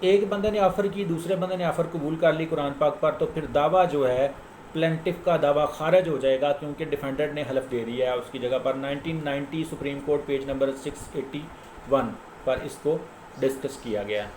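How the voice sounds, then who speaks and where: A man's voice: fairly high pitch, fairly quick, a little mellow. A man with a Pakistani accent giving an informal explanatory lecture as a lawyer, didactic and repeating key points for emphasis. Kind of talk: informal explanatory lecture citing case law. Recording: echoey room with faint background noise.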